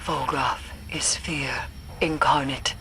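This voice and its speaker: A woman playing a grim, haunted mystic in a video game cutscene, speaking solemnly and ominously in formal, measured phrases with a breathy voice. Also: extremely low pitch, steady tone, bright, forceful tone, slight background noise, exaggerated voice. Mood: grim, resolute. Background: music.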